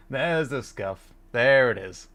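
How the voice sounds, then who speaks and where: A man hosting a gaming livestream, chatting casually and musing aloud in a halting way. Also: mid-range voice, lively intonation, fairly bright tone. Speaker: livestream host.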